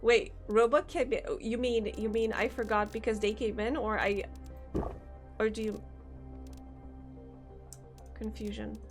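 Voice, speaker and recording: Slow-talking woman streamer, slightly sore throat. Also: fairly high pitch, little pitch movement. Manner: rambling, thinks aloud, chats with viewers. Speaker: game streamer. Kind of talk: casual live-stream chat while playing. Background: faint game music.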